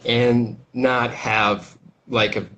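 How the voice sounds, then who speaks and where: A young adult man from Brazil with a Brazilian accent, an English teacher talking casually over a video call, halting with lots of fillers and restarts. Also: medium pitch, level tone, fairly bright tone, lo-fi sound. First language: Portuguese. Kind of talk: casual interview chat.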